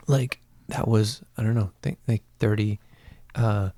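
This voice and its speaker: A man's voice: lowish voice, fairly even intonation, a little slow, warm tone, dry recording. A man around forty chatting casually as a guest, reflective and playful in a rambling way. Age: 40s. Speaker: podcast guest. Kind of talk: casual chat.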